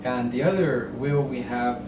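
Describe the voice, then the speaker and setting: A middle-aged man from El Salvador, a guest on a casual interview, talking haltingly with many fillers and explaining through simple analogies. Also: medium pitch. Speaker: guest, real estate broker. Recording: roomy, distant mic, ambient noise.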